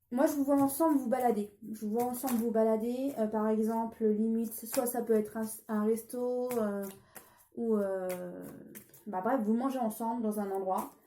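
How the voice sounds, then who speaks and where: Woman with a cold, tarot vlogger, mellow, fairly high voice, hesitant, echoey room with faint hiss. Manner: hesitant, many fillers and restarts. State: has a cold. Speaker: tarot card reader. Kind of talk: casual tarot reading vlog.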